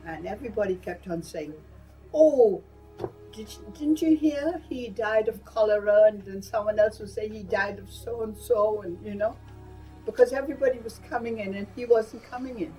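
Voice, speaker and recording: A woman's voice: mid-range voice, normal speed, mellow, soft background music, rough recording. An older woman from Burma giving a personal reminiscence in a plain-spoken, emphatic way. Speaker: interviewee recalling wartime life.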